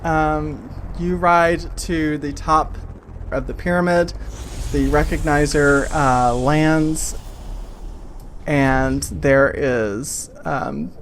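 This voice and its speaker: A man game master narrating an improvised tabletop adventure in a casual, halting, descriptive way. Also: a little high, steady tone, fairly bright tone. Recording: clear background noise.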